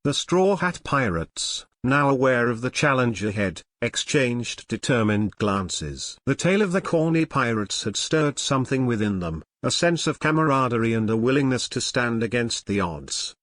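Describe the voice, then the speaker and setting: A man's voice: medium pitch, big pitch swings, normal speed, hardly any pauses, fairly bright tone, no room sound, clean studio sound. A man delivering scripted story narration for an audio drama.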